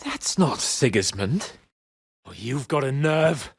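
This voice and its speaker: An actor playing a haughty, hot-headed young nobleman in a video game, pompous and sharp-tongued. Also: mid-range voice, animated, slow pace, vocal fry, slightly rough, no echo, studio quality, exaggerated voice. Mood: wry, scornful.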